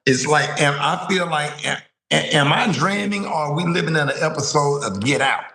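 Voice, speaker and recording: Dude cohosting, talks fast and animated, kinda echoey room. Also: male voice, fairly high pitch, gravelly. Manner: animated, repeats words as he talks. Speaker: co-host. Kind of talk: casual commentary.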